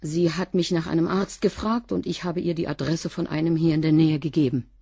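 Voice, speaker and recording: A woman's voice: low voice, fairly even intonation, brisk, vocal fry, dark tone, slightly rough, no echo, studio quality, exaggerated voice. An actress playing a curt, evasive cleaning woman under police questioning in a radio crime play. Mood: guarded. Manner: terse, evasive answers.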